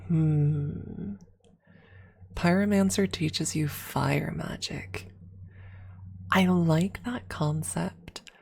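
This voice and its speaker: Low, breathy, slow-talking woman streamer. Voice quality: soft, breathy, often near a whisper. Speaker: ASMR streamer, host. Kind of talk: casual ASMR livestream chat with viewers. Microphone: close ASMR microphone.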